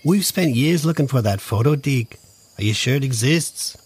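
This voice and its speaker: A man's voice: mid-range voice, animated, normal speed, darkish tone, slightly rough. A man playing a patient, long-suffering assistant in an audio comedy, mild, hesitant and placating. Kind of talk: scripted audio comedy acting.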